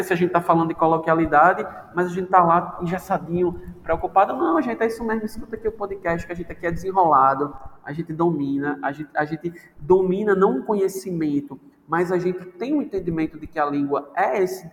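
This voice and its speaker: A lively man from Brazil talks like a teacher who loves language, playfully acting out the voices he quotes. His voice sits high. The sound carries a long reverb, with a faint hiss underneath. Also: brisk, hardly any pauses. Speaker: podcast guest, language teacher. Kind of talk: casual podcast chat.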